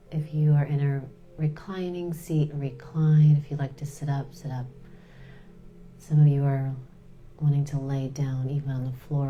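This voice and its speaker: A woman leading a guided meditation in a soothing, unhurried way, pausing between short phrases. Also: extremely low pitch, dark tone.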